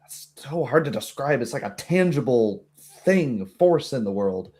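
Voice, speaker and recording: A man voicing a small, blunt gnome woman in a tabletop game, rambling informally and haltingly as she explains things. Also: medium pitch, very animated, darkish tone. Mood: uneasy, blunt. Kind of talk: tabletop roleplay dialogue.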